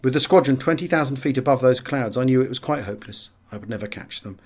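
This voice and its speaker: A British man narrates a memoir as an audiobook reader. His voice sits at a medium pitch. He tells the story in a measured, expressive way, with a dramatic first-person feel. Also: husky.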